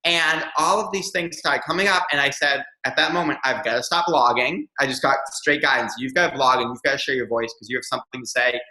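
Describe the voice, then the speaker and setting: A man's voice: medium pitch, a little fast, few pauses, pushed and bright, echoey room, rough recording. A young man speaking as an interview guest, telling a personal story in an earnest, reflective way with frequent ums.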